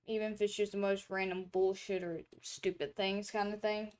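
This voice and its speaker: A young woman streamer in casual chat, rambling with many fillers and restarts, her throat a little dry and hoarse, talking from a room at home. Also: mid-range voice, little pitch movement, normal speed, a little mellow. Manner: rambling, chatty, lots of filler words and restarts. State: throat drying, slightly hoarse.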